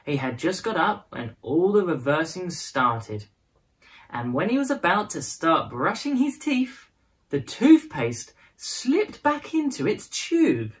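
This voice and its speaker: A woman narrates a children's storybook in a fairly low voice. Her intonation is very lively, rising and falling widely as she shapes prose and verse expressively for young listeners. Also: slow pace. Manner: expressive, dramatized phrasing for children. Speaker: storyteller reading a children's picture book.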